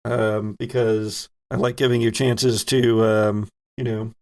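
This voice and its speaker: Creaky-voiced man running a tabletop game. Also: on the low side, level tone, slow, darkish tone. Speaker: game master. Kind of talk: casual improvised tabletop game narration.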